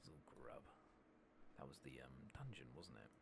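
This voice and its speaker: A man giving relaxed, soft-spoken ASMR commentary over a video game, murmuring casually and sometimes dropping toward a whisper. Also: a bass voice, normal speed, creaky. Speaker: host of a gaming ASMR channel. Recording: loud steady background noise and ambience.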